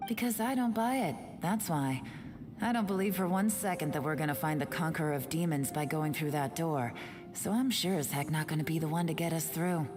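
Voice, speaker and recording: A woman acts out a cool, skeptical woman from a video game. Her rather low voice is dry and blunt. Music is clearly heard behind it. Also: brisk, close to an everyday voice. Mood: skeptical, dismissive. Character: cool, skeptical, self-assured adult woman.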